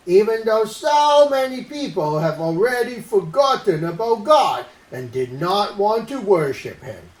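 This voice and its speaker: A performer voicing Uncle Ed, a silly, earnest orangutan puppet who teaches Bible stories to children in a deliberate way. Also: mid-range voice, roomy sound, low-quality recording. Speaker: host of children's Bible story show. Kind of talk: children's puppet show presenting.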